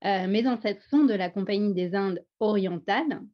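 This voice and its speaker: A woman's voice: medium pitch, normal speed. A middle-aged woman from France with a Parisian accent, telling her life story with humor as a webinar guest. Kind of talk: webinar self-introduction. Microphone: video call. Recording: slightly dull video call audio.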